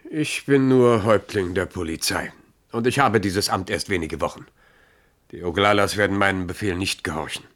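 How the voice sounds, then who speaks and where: An actor playing a proud, pragmatic Dakota chief and father in a German radio drama, grave and measured. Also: low-pitched, fairly bright tone. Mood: wary.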